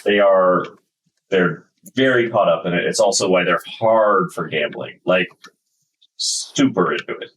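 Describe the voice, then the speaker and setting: Deep-voiced game master, mic set back. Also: male voice, measured pace, fairly bright tone, far from the mic, slight background noise, rough recording. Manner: explains at length with many fillers. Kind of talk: narrating a tabletop game.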